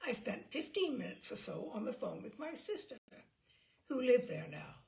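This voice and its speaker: A woman reading a story aloud as scripted narration, in an expressive, measured storytelling manner. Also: a little high, gravelly. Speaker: story reader.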